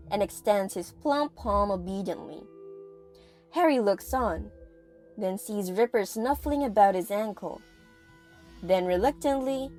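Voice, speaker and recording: A woman's voice: medium pitch, a little mellow. A woman reading scripted narration for an audio drama as its storyteller. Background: music.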